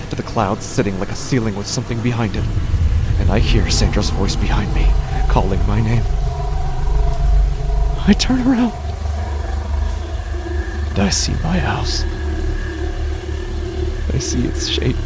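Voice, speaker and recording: A man giving a tense, hushed first-person reading of a horror story. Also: medium pitch, background noise. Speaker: narrator.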